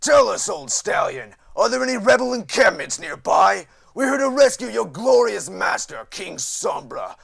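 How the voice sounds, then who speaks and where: A man voicing a gruff, zealous pony army commander in a storybook reading, rough and strained, barking in a commanding military manner. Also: high voice, very animated, normal speed, hardly any pauses, a bit bright, voice pushed up, full character voice. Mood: stern, commanding. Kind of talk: audiobook character voice, military storybook.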